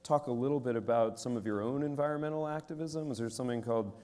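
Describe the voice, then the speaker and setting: A man interviews a guest on stage, posing his questions politely and with measured pauses. His pitch is in a middling range for a man. The long reverb of a large hall surrounds his voice. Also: a little mellow. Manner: polite and measured, with some hesitations. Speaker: interviewer and moderator.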